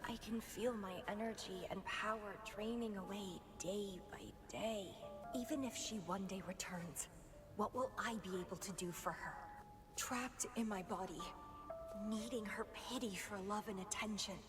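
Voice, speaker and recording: An actress voicing an intense, lovelorn and unhinged young woman fighter in a slow, theatrical video game monologue. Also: medium pitch, close to an everyday voice. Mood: despairing. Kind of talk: dramatic video game voice acting. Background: dramatic game music.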